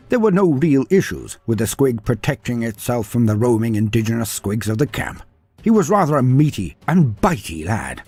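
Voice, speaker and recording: Wry storybook narrator, breathy man. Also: mid-range voice, darkish tone. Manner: dry, wry tone with playful sidebars. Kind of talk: storybook narration with humorous asides. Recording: faint music behind narration.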